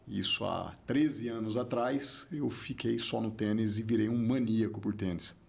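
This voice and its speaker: A Brazilian man in his forties with a São Paulo state accent, speaking as a podcast guest. His voice has a gravelly edge, and he drives his points home by repeating them with lively emphasis. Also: lowish voice, moderate pace. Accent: São Paulo state Portuguese. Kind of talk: casual interview talk.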